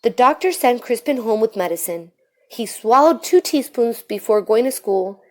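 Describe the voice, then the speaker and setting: A woman reads a storybook aloud for young children. Her voice sits fairly high, and she tells the tale with plenty of expression. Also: expressive, normal speed, a little mellow. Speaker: storybook reader.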